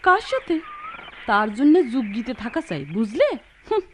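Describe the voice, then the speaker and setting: Casting: pouting young village sweetheart, rural Bangladeshi accent, very high lively voice, radio play with noisy sound. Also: female voice, normal speed. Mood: pouting, annoyed. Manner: coquettish, pouting. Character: teasing, impatient young village woman in love. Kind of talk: radio drama acting.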